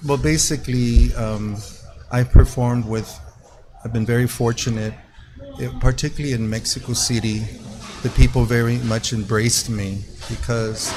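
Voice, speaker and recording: A man in his sixties from California, talking casually and reflectively as an interview guest, in a halting way. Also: mid-range voice, fairly even intonation. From United States (California). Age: 60s. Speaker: mariachi musician, show guest. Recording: clearly noisy background ambience.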